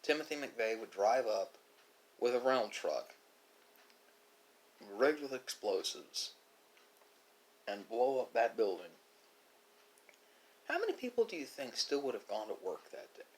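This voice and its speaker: A man delivering a reflective monologue, speaking philosophically with dramatic pauses and emphasis. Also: mid-range voice, very animated, rough recording. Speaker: video creator.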